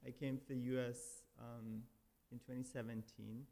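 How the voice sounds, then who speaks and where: A man's voice: mid-range voice, little pitch movement, normal speed, creaky, mellow. A man from Iran, speaking as a guest musician at a service, hesitant with many fillers and restarts. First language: Persian. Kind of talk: casual spoken introduction. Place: church sanctuary. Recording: reverberant hall.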